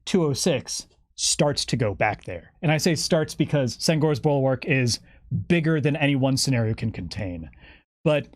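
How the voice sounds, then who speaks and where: A man's voice: medium pitch, expressive, normal speed, dry recording. Wry man, dry close sound. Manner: wry, playful. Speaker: guest, game developer. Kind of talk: unscripted livestream chat explaining game lore.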